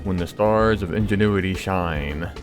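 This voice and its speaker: A man's voice: low-pitched, measured pace. A man hosting a gaming channel, giving casual gameplay commentary. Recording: voice-over with game music behind.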